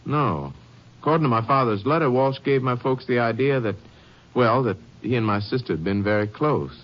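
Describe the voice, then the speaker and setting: An actor playing an earnest rancher's son, halting at times, in a 1950s radio drama. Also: male voice, medium pitch, lightly acted. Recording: dull old broadcast.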